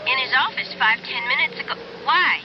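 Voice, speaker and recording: A woman's voice: high-pitched, expressive, fairly quick. A woman acting a chatty, nosy small-town telephone operator with a rural American accent in a 1970s radio drama. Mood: friendly, curious. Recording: muffled, noisy radio drama phone exchange.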